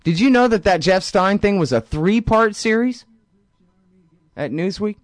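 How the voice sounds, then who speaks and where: A man's voice: high-pitched, lively intonation, measured pace, no room sound. A man hosting a political talk show, ranting sarcastically with lots of energy. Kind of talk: political radio commentary.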